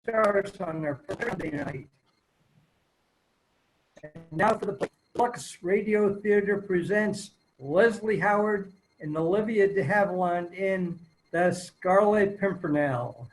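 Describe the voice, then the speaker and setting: A man playing an old-time radio announcer and host, reading the script in a halting, stumbling way. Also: sits high, a little hiss or hum, rough recording. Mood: formal, narrating. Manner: halting, stumbling table-read delivery. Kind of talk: 1930s radio show read aloud. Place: virtual table read from home.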